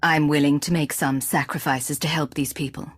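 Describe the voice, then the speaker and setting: A woman's voice: low voice, steady tone, moderate pace, slightly rough, no room sound. A woman playing a composed, decisive spy commander in a video game, with a dry manner.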